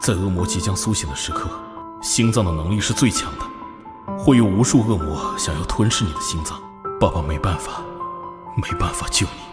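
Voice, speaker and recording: A man playing a sorrowful, guilt-laden middle-aged father in an animated drama, grave and solemn, with dreamlike reverb. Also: low voice, a little fast. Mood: grieving, solemn. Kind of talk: Chinese animated drama acting. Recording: long echoing reverb over music.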